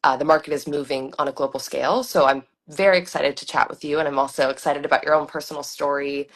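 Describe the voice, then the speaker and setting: A woman hosting an interview, enthusiastic and warm in her welcome, with frequent "um" fillers. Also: deep voice, fairly even intonation, quick pace. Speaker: interview host. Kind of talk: interview host.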